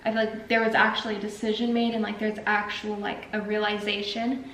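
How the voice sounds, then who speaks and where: A woman's voice: medium pitch, level tone, fairly quick, fairly bright tone, roomy sound, a little hiss or hum, low-quality recording. A young woman chatting casually on a family vlog, rambling and filling with "like". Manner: rambling, heavy use of like. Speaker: vlogger.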